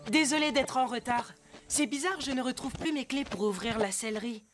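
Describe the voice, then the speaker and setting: A woman's voice: fairly high pitch, moderate pace, music in the background, close to an everyday voice. A woman playing a level-headed, questioning teenage girl in a French cartoon dub. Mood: concerned.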